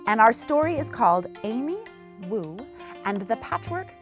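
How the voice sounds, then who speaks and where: Animated woman hosting children's story time. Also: a little high, moderate pace, faint music behind. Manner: exaggerated, encouraging, talking to young children. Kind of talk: children's read-aloud.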